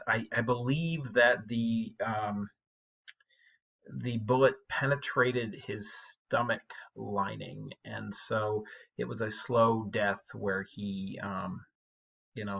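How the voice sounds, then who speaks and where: A man giving an informal English lecture, explaining things in a conversational, colloquial way like a high school teacher. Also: creaky, pushed and bright, breathy edge.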